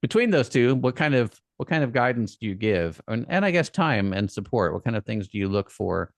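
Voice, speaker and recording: A man's voice: mid-range voice, expressive, brisk, dry recording. A man hosting a podcast interview, asking questions in a conversational, encouraging way. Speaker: podcast host.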